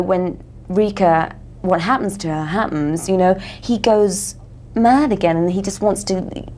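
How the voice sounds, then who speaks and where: An English actress answering interview questions earnestly, with many "you know" fillers. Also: female voice, mid-range voice, dark tone, a little hiss or hum. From England.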